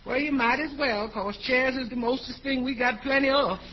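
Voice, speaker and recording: A woman's voice: high-pitched, normal speed, close to an everyday voice. A woman playing a wry, folksy housekeeper with ungrammatical comic speech in a 1940s radio comedy. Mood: drily weary. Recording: dull, noisy old-time radio recording.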